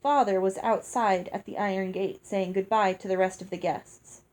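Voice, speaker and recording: A woman reading a children's novel aloud as scripted, expressive storybook narration. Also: medium pitch, moderate pace. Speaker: audiobook reader.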